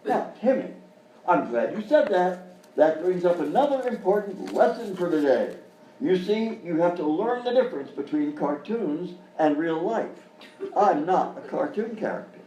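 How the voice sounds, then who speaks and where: An actor playing a flustered, falsely cheery children's TV host who stammers and hems, in a live radio-style comedy sketch. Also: male voice, high voice, animated, normal speed, warm tone. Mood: cheery patter. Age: middle-aged or older. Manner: stammering, hemming and hawing, exaggerated. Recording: roomy live stage, distant mic, faint noise.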